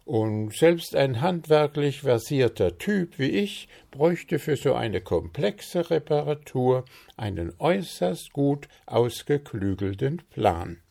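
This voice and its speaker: A man voicing a grumpy, proud male gnome baker, huffy and quick to take offence, in a storybook reading. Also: mid-range voice, sing-song, vocal fry, mellow, voice pushed up, full character voice. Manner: huffy, indignant, quickly agitated.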